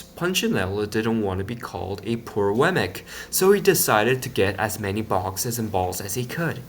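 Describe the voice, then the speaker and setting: A man reading a children's picture book aloud in an expressive, playful storytelling manner. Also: on the low side, slight background noise.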